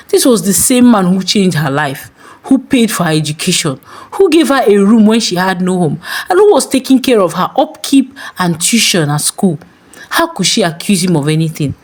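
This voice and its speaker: Animated Nigerian woman storyteller. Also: medium pitch. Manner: deliberate dramatic pauses. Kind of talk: dramatic scripted story narration.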